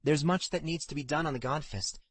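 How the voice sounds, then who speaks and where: A man's voice: fairly high pitch, brisk, slight background noise, pitched above the performer's own voice, natural voice. A man voicing an earnest, persistent, protective young woman in audiobook dialogue. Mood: grave, pleading.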